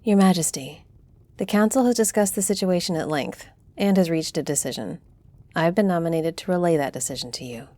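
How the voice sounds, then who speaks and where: A woman playing a dignified, gracious noblewoman councillor in an audio roleplay, delivering her words formally and deliberately. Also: on the low side, warm tone, no echo, natural voice. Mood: solemn, gracious.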